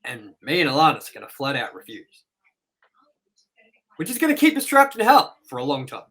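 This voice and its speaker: A man hosting a review show, rambling and excitable as he wraps up the episode with plugs. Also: on the high side, brisk, rough recording. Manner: rambling and excitable, with sound-effect noises. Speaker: host. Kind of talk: casual episode wrap-up with plugs.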